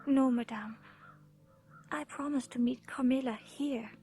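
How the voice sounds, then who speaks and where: An actress playing a gentle, sheltered young English gentlewoman in a radio horror drama, earnest and polite, with an English accent. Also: high voice, steady tone, unhurried. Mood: concerned.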